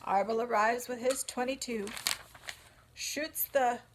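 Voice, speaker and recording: A woman's voice: a little high, slow, heavy background noise, voice pushed up. A woman voicing an old female sheep who delivers bad news bluntly and matter-of-factly.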